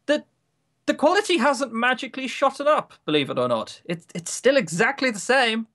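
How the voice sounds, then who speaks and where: A man's voice: extremely high pitch, very animated, normal speed, a bit bright. Grumbling, sarcastic man chatting on a podcast. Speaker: podcast co-host. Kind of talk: casual opinionated podcast chat.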